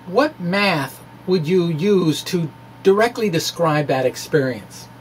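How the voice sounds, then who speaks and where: A middle-aged man giving a dramatic reading of a science blog, with deliberate, emphatic phrasing and informal asides. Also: fairly high pitch, animated, slight background noise. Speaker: presenter, science blogger.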